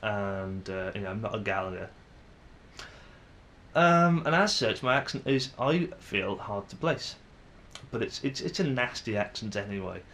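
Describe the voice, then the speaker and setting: Northern english guy in his 20s rambling at the camera, kinda low voice, slight rumble behind. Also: expressive, unhurried, fairly bright tone. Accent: northern English, Manchester area. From northern England. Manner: rambling, self-deprecating, frequent restarts. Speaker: vlogger. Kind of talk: casual monologue to camera.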